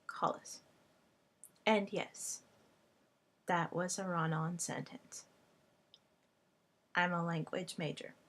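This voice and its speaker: A woman whose first language is Hungarian, a language tutor speaking to camera in a personal vlog, in short, halting phrases. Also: on the low side, fairly even intonation, fairly bright tone.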